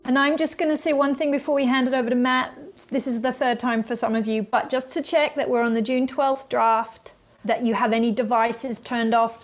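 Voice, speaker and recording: A woman giving informal housekeeping instructions to a group. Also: a little high.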